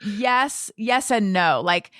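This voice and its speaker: A woman's voice: mid-range voice, slow, fairly bright tone, no room sound, studio quality. A woman hosting a casual podcast, chatting informally and thinking aloud as she goes. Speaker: podcast host.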